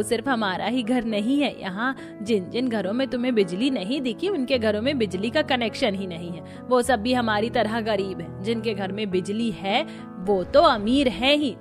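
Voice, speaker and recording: A woman's voice: on the high side, lightly acted. A woman voicing an earnest, practical woman of a poor household in a family cartoon, explaining things. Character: poor, practical mother-in-law and resourceful daughter-in-law. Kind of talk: Hindi family cartoon acting.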